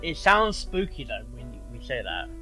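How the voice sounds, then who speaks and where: A man's voice: mid-range voice, sing-song, moderate pace. A man giving casual, off-the-cuff reaction commentary in short asides, often repeating words to himself. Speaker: reaction video host. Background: music from the video being watched.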